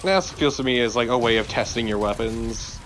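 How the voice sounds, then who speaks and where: Man, mid-pitched voice, casual game co-commentary, faint noise behind. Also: fairly quick, a bit bright. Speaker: let's play co-commentator. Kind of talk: casual chat over game footage.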